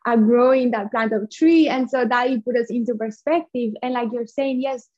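Woman from Colombia, fairly high voice, earnest, muffled video call audio. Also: steady tone, moderate pace, darkish tone. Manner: earnest, talks with her hands. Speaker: guest, coffee company founder. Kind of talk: remote interview. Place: small bright room at home.

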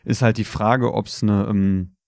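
A man's voice: fairly low pitch, steady tone, a little slow, vocal fry, darkish tone, no echo. Male co-host, dry close sound. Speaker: podcast co-host. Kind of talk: casual chat.

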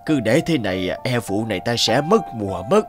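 Stern old cartoon farmer played by a man, scolding firmly with animated intonation. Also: fairly low pitch, a little fast, over music, lightly acted. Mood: worried. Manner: firm, scolding.

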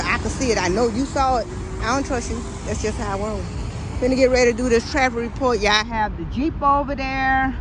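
A woman talks to her viewers in a loose, sassy walk-and-talk. She films on a handheld phone as she walks along a busy street. The passing traffic is loud in the recording. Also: a little high. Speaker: vlogger filming a walk. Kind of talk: casual walk-and-talk commentary. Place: outdoors by a busy suburban road. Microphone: phone camera held while walking. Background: passing car traffic.

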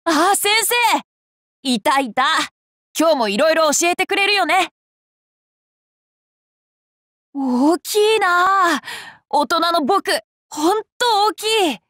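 A woman's voice: extremely high pitch, expressive, slow, fairly bright tone, dry recording, clean studio sound, full character voice. A woman voicing a cheerful, curious boy king for an anime game, boyish and polite, with playful self-assurance. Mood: excited, admiring. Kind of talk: Japanese anime game character lines.

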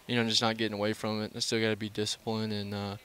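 A young male college baseball player in a postgame interview, speaking through a headset mic at an outdoor ballpark at night, halting, with many fillers and restarts. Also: on the low side, completely flat delivery, fairly bright tone, clean tone. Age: young adult.

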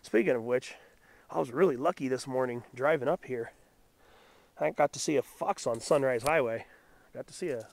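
A man getting over a head cold, his voice deeper and raspier than usual, casually naming plants as he walks through a mountain forest before dawn. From Southern California. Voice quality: raspy. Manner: musing, relaxed botanical naming. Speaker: nature vlogger. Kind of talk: casual walking commentary. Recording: outdoor camera audio with background noise.

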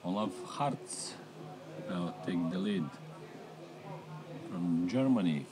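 A middle-aged man calls a river race in slow, unhurried English. His voice sits a little low, and he stops often on fillers. A crowd can be heard clearly behind him at the outdoor course. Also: expressive. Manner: halting non-native English with many fillers. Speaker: race commentator. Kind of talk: live sports commentary.

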